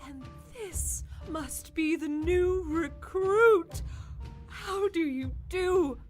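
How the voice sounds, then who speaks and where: A woman's voice: very high voice, very slow, soft background music, heavily acted. A woman playing a prim, stately female circus curator who speaks formally and precisely. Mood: poised. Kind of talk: audio drama acting.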